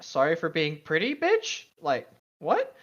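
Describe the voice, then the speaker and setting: A man's voice: fairly high pitch, huge pitch swings, measured pace, fairly bright tone, lo-fi sound. A man co-hosting a casual fan fiction read-aloud, slipping between mock dramatic reading and sarcastic, slangy asides.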